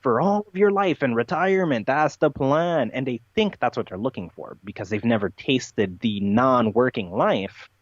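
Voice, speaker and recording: A man's voice: mid-range voice, moderate pace, hardly any pauses, no echo. Emphatic male podcast guest, dull dry recording. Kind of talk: unscripted interview answers, explanatory monologue.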